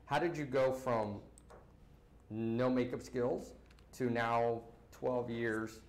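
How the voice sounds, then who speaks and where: A man hosting a live video call panel, asking quick follow-up questions. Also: mid-range voice, steady tone, darkish tone. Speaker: host. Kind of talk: interview chat. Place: indoor office, on a video call.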